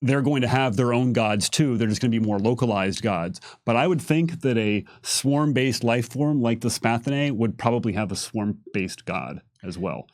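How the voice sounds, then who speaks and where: A man's voice: medium pitch, moderate pace, hardly any pauses, a little mellow, dry recording, clean studio sound. A man answering lore questions as a game developer, explaining off the cuff and often rephrasing himself mid-sentence. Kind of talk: unscripted explanatory talk.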